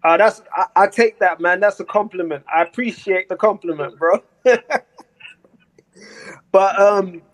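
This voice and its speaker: A man's voice: sits high, a little slow, pauses often, low-quality recording. A man in his forties talking casually and earnestly in a remote conversation, rambling with restarts and slang. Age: 40s.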